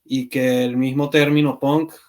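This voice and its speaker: Man, from Venezuela, podcast guest, hesitant, frequent fillers, mid pitch. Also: flat delivery, unhurried, a little mellow. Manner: hesitant, many fillers and restarts. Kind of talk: conversational interview.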